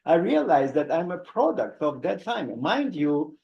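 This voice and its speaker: A man in his eighties from Odessa, with a Russian accent, telling anecdotes at a book talk in a halting way full of restarts and chuckles. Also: a little high, very animated, vocal fry, a little mellow, echoey room, lo-fi sound. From Odessa, Ukraine. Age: 80s. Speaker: author giving a book talk. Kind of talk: conversational anecdotal storytelling.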